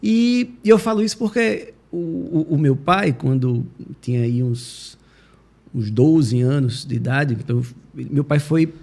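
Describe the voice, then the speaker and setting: A man with a Northeastern Brazilian accent from Recife, answering interview questions in a reflective, halting, conversational way. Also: fairly high pitch, animated, vocal fry, mellow. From Recife, Pernambuco, Brazil. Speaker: podcast guest, judge and law professor.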